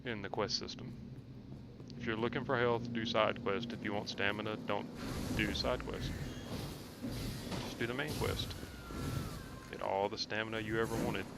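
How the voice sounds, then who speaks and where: Low-pitched, gravelly man commentating gameplay, noisy recording. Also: steady tone, moderate pace. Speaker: gamer commentating own playthrough. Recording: clearly noisy background.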